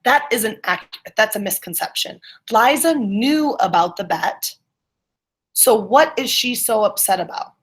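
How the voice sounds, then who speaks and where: A woman's voice: mid-range voice, lively intonation, a little slow. A woman teacher leading an interactive online class, encouraging students and checking their understanding with questions. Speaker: middle school English teacher.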